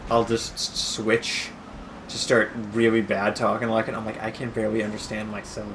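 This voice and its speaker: A man's voice: lowish voice, completely flat delivery, normal speed, noisy background. A man co-hosting a video game playthrough, riffing casually and swearing as he jokes with his partner. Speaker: let's play co-host. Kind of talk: casual joking banter over gameplay.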